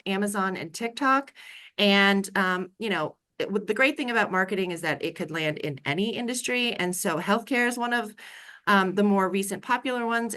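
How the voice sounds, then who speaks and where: A woman's voice: mid-range voice, pushed and bright. A woman moderating an online panel as a career coach, speaking to an audience and to panelists.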